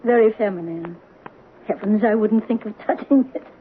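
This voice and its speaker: An actress playing a kind, widowed mother in an old radio drama, gentle in manner with nervous little laughs. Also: medium pitch, moderate pace, phone-quality sound, natural voice. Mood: gentle, anxious. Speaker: Celia, a mother. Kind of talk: 1970s radio drama acting. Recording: old radio broadcast, phone-thin with hiss.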